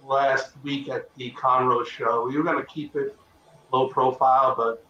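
A man from Texas, answering as a guest over a video call in a rambling, halting way with many restarts. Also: mid-range voice, flat delivery, sharp tone. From Texas, north of Dallas. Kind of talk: casual interview talk. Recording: roomy, distant, muffled call audio.

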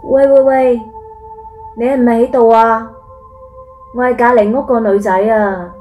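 A woman's voice: fairly high pitch, steady tone, warm tone, faint music behind, lightly acted. A woman playing an eerie, taunting ghost neighbour in a horror radio drama, with a coaxing, menacing manner. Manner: slow, coaxing, menacing with creepy laughter.

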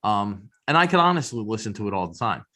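A man's voice: fairly low pitch, sing-song, quick pace, sharp tone. A man co-hosting a podcast in casual, informal conversation, freely giving his opinions. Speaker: podcast co-host. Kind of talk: casual chat.

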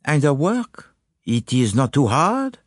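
A courteous foreign detective, acted by a man in an audiobook. He is precise, formal and coaxing, with lilting, very lively intonation in a dry studio sound. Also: on the high side, slow, no echo, pitched above the performer's own voice, heavily acted. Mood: kindly, inquiring. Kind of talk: audiobook character voice.

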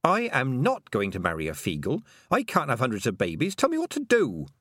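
A man voicing a headstrong, quick-witted, bossy young girl in an audiobook. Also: mid-range voice, wildly swooping pitch, fairly bright tone, no echo, clean studio sound, pitched above the performer's own voice. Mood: indignant, pleading. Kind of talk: audiobook character acting.

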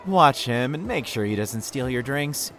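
A man's voice: medium pitch, lively intonation, unhurried, fairly bright tone, faint music behind. An actor playing a carefree, caring young man in an audio drama, with a hesitant, earnest way of talking. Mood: easygoing. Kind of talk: naturalistic audio drama dialogue.

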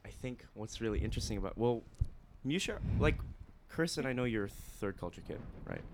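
A young man hosting a loose, joking podcast from a friend's bedroom, speaking at a middle pitch. A faint hiss sits under his voice. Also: expressive, fairly quick. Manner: joking, loose, laughs often. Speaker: podcast host. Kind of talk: casual chat.

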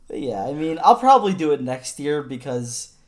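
A young man co-hosting a film podcast, telling stories in a relaxed way, laughing often and restarting sentences. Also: a little high. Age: young adult. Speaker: podcast co-host. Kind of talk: casual chat.

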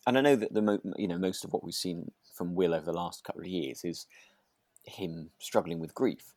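A British man with a slightly low voice in a very dry recording, co-hosting a podcast. He chats casually and rambles a little as he thinks aloud. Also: fairly quick, a little mellow, dry recording. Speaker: podcast co-host. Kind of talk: casual chat.

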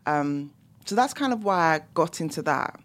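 Low-pitched London woman, chatty and animated interview guest. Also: normal speed. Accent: London English. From London, England. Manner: animated, laughing, many fillers. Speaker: guest musicologist. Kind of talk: casual interview chat.

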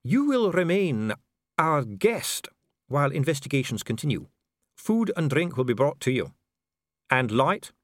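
A man voicing a calm dwarf official with a measured, bureaucratic, smoothly courteous manner in an audiobook. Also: fairly high pitch, no echo, clean studio sound, voice pushed up. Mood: coolly polite. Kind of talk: audiobook character voice.

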